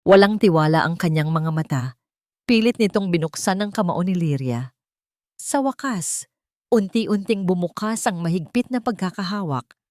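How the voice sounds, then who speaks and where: A woman's voice: on the low side, lively intonation, moderate pace, darkish tone, no room sound, clean studio sound. A woman narrating a dramatic scripted love story as an audiobook.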